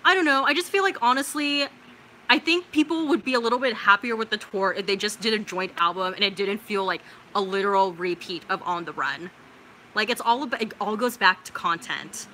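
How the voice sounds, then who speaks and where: Bright-voiced young American woman livestreamer chatting. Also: mid-range voice, a little fast, slight background noise, rough recording. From California, United States. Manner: informal, expressive, slangy and swearing. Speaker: livestream host. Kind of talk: casual livestream chat, reading out viewer comments and reacting.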